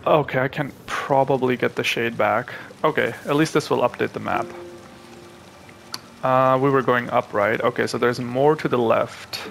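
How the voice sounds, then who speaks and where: A young man from Pakistan, hosting a gaming channel, who chats to viewers and thinks aloud as he plays, with excitable reactions. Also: medium pitch, moderate pace, vocal fry, faint music behind. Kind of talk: live gameplay commentary.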